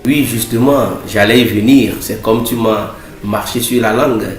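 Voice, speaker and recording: A man voicing a pompous, self-satisfied billionaire groom in a cartoon, boasting in a halting way with many pauses. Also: lowish voice, steady tone, natural voice. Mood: boastful. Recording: roomy echo, music underneath.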